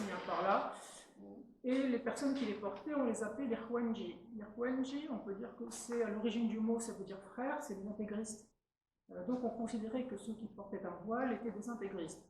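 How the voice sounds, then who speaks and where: A woman in her sixties from Tunisia, giving an earnest, halting public talk at a meeting as an invited speaker, with many restarts. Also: mid-range voice, gravelly, darkish tone. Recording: very echoey room, faint noise.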